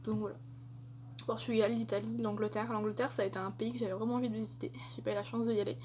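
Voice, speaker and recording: A woman's voice: medium pitch, little pitch movement, fast, a little mellow, a little hiss or hum. A young woman answering tag questions in a casual vlog, chatty and hesitant with many fillers. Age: young adult. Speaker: vlogger.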